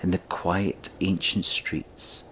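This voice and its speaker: A man reading scripted narration for an audio drama, describing the action in short measured sentences. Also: deep voice, fairly even intonation, fairly bright tone, slight background noise.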